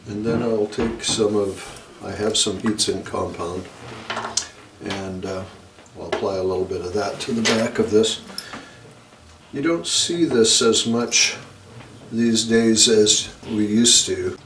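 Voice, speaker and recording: A man with a lowish voice talks through a hands-on repair job. His delivery is very slow, hesitant and unscripted. The sound is noticeably roomy, with a noisy background. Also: far from the mic. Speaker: electronics repair video host. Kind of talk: hands-on repair tutorial narration.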